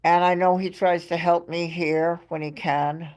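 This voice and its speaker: A woman's voice: lowish voice, little pitch movement, a little slow, a little mellow. A woman with a New York accent hosting a livestream, telling stories in a chatty way and laughing often between phrases. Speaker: livestream host. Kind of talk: casual chat to a live chat audience.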